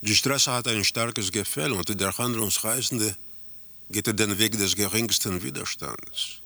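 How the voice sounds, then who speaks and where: A man playing a detached narrator in a literary radio drama, describing bleak, surreal scenes deliberately in a gravelly voice. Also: lowish voice, sharp tone, dry recording, full character voice. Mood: ominous, detached. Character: male narrator of a bleak, surreal travelling salesman tale.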